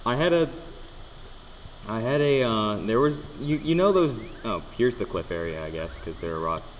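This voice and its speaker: Young man with a lively voice, echoey room. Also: medium pitch, normal speed, a little hiss or hum. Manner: joking, swearing, stammering restarts. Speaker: let's play host. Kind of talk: casual gameplay commentary. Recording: echoey room with long reverb.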